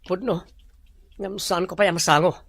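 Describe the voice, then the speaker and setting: A man's voice: sits high, fairly even intonation, moderate pace, gravelly. A man playing a stubborn businessman's son who avoids marriage, in an Ilocano drama. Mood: casual, defiant. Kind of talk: Ilocano radio-style drama acting.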